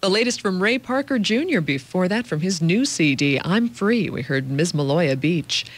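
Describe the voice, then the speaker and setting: A woman's voice: on the low side, expressive, normal speed, dry recording. A woman with an American accent announcing songs as a radio DJ.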